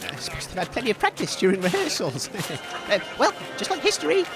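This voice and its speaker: A man acts as a chipper, excitable British radio host in a comedy drama. His voice is very high and swoops all over as he commentates earnestly and gently chides. Music plays clearly underneath. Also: quick pace, full character voice. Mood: bright, chirpy. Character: cheerful naive male radio host, gently chiding his co-host. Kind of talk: comedy audio drama, mock live event commentary.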